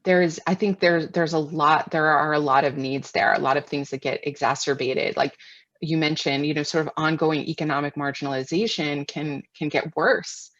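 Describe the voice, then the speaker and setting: Low-voiced American woman, muffled roomy audio. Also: fairly even intonation, moderate pace, a bit bright. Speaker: guest expert answering webinar questions. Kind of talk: extemporaneous webinar question and answer.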